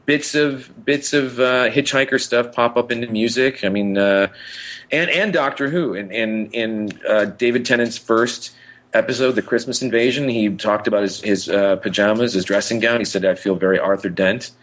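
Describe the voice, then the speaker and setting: A man's voice: medium pitch, normal speed, fairly bright tone. A man co-hosting a podcast in casual chat, rambling enthusiastically and often correcting himself mid-thought. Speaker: podcast co-host.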